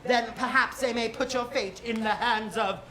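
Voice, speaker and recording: A woman's voice: on the high side, steady tone, moderate pace, bright, forceful tone, slight background noise. A woman playing a vengeful, grandiose male sci-fi villain in a theatrical parody stage musical. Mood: menacing. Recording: live stage recording, faint music.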